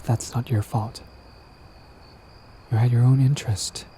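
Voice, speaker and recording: Man acting as a mellow, lovestruck, coaxing villain. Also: medium pitch, steady tone, a little slow, slight background noise, close to an everyday voice. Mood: tender, sympathetic. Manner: casual, teasing, coaxing. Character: lovestruck, persistent young male villain, smug yet earnest. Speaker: voice actor in romantic audio roleplay. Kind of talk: intimate scripted roleplay addressed to the listener.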